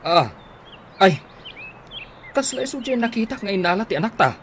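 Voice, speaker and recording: A man's voice: sits high, big pitch swings, moderate pace. A man playing an easygoing, teasing, thrifty husband with an Ilocano accent in a radio comedy drama. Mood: mild, puzzled. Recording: noisy radio drama recording, ambient sounds.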